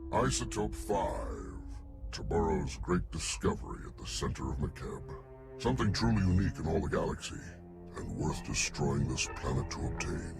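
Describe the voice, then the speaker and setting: Casting: huge conceited slug-alien genius in a game, bottomless gravelly bass, pompous and condescending. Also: male voice, lively intonation, moderate pace, heavily acted. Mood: proud, urgent. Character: haughty male Hutt scientist, huge slug-like alien genius. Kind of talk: video game cutscene acting. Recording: music playing behind.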